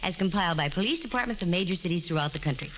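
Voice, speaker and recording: Through clear hiss on a 1949 radio recording comes a wisecracking female private eye with a fairly low voice. She is confident and flirtatious, tossing off wry, teasing lines in classic radio-play style. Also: lively intonation, very fast, fairly bright tone, rough recording. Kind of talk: 1940s radio drama acting.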